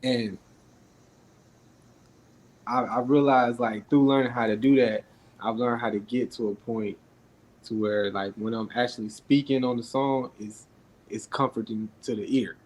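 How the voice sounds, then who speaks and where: A young man with a naturally raspy voice, deliberately projecting so he isn't heard as too quiet. He talks laid-back with many fillers, on a podcast mic. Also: medium pitch, fairly even intonation, slight background noise, poor audio. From Atlanta, US. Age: young adult. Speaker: rapper guest. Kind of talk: casual interview talk.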